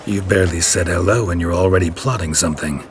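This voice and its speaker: A man playing a gruff, wary monster hunter in a fantasy video game, speaking in terse, deadpan phrases. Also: low-pitched, fast talker, background noise, close to an everyday voice. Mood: sceptical, dry. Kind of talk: cinematic fantasy game acting.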